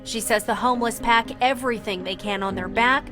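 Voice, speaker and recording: A woman's voice: fairly high pitch, a little fast. A woman news reporter giving serious, scripted narration for a television news feature, over a music bed.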